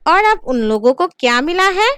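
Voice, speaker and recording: A woman's voice: very high voice, sing-song, moderate pace, a bit bright, no echo, heavily acted. A woman voicing a nosy, spiteful village neighbour in a cartoon. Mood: gossipy.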